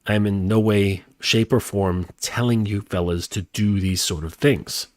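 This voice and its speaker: A man's voice: on the low side, steady tone, unhurried, dry recording, clean studio sound. Stuffed-up nasal man hosting. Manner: rambling, frequent fillers and restarts. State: congested from a cold. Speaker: podcast host. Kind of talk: casual chat.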